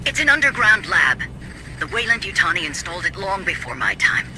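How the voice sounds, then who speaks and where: High-voiced, pleading company official. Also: male voice, very animated, brisk, rough recording. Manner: defensive, earnest, imploring. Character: apologetic, pleading company official in sci-fi thriller. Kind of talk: dramatic video game acting. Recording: music under the voice.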